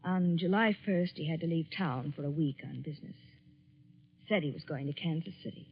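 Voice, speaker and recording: An actress playing a jilted, despairing young woman in a 1940s radio suspense drama, earnest, measured and grimly resolute. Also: sits low, steady tone, slight background noise. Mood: wounded, despairing. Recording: dull 1940s radio broadcast.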